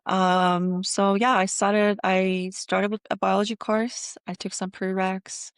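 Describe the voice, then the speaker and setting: A woman from Iran, a dentist guest in an interview, talking casually with many pauses and fillers. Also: medium pitch, flat delivery, clear, resonant tone, dry recording, studio quality.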